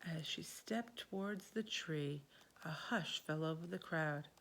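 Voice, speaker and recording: A woman reads a children's picture book aloud. Her pace is slow and unhurried, and she tells the story expressively, as if to young listeners. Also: deep voice, fairly bright tone.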